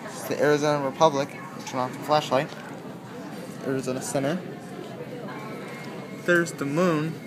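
A man casually narrating a city view from a revolving restaurant, naming landmarks in a low, matter-of-fact way on a handheld camera. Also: steady tone, normal speed, long pauses, background noise. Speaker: amateur videographer filming a city view. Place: revolving rooftop restaurant at night. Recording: busy restaurant chatter behind. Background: diners chatting.

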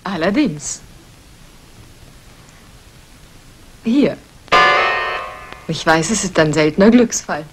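A woman's voice: lowish voice, expressive, a little slow, loud music behind the voice. An actress playing a poised aristocratic lady, composed and cultivated, in a 1960s German radio drama. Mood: gracious. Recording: faintly noisy old radio recording.

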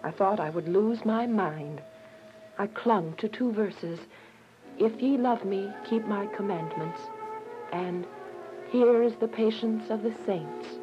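An actress playing a devout, earnest young woman imprisoned for her faith in a religious radio drama, emotive and deliberate. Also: medium pitch, lightly acted. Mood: solemn. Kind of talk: religious radio drama acting with first-person narration. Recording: dull lo-fi radio drama with music.

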